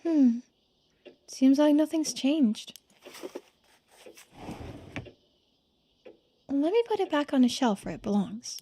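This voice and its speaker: Casting: female performer for a thoughtful young game character, soft-spoken and polite, high pitch, gentle dark-toned delivery. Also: animated, a little slow, faint music behind. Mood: puzzled. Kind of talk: voiced game dialogue and narration.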